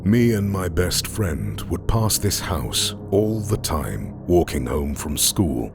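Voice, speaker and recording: A man reading scripted horror stories as a narrator, in a deliberate, suspenseful way with drawn-out phrase endings. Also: low-pitched, gravelly. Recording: music under the voice. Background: background music.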